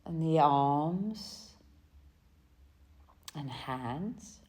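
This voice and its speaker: Very slow, low, mellow woman guiding meditation. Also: animated. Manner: gentle, soothing, pausing between short phrases. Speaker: yoga nidra guide. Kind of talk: guided relaxation meditation.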